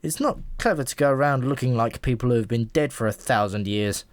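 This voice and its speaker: A man's voice: mid-range voice, a little fast, dry recording, natural voice. A man voicing a chatty, world-weary pigeon in an audiobook, talking in an offhand, frank and matter-of-fact way. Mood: offhand, advising. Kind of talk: audiobook character voice.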